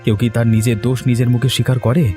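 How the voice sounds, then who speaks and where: A man's voice: medium pitch, flat delivery, quick pace, dark tone, faint music behind, natural voice. A man playing an honest, aggrieved young villager in a cartoon story, earnest and complaining. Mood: bitter, glum. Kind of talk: dramatic cartoon story dialogue.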